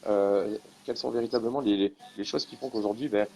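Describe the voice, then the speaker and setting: A man's voice: on the low side, steady tone, a little fast, gravelly, a little mellow, a little hiss or hum, poor audio. A man hosting a casual live stream interview, rambling with many restarts and fillers.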